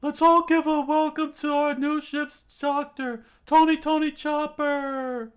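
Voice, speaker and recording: A man voicing an excitable cartoon pirate crew member, shouting toasts and announcements in a shrill, over-the-top voice. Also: a little slow. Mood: celebratory.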